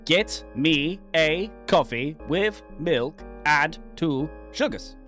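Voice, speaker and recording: A man's voice: on the high side, lively intonation, slow pace, fairly bright tone, soft background music. A man voicing a bullying submarine officer with a cruel temper, commanding and scornful. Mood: demanding. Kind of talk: dramatic reading of a horror visual novel.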